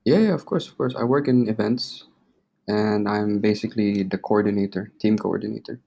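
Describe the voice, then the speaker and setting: Low-pitched young man, casual and slangy. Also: moderate pace, creaky, mellow. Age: 20s. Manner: informal, slangy, swearing. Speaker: interview guest. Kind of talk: casual interview chat.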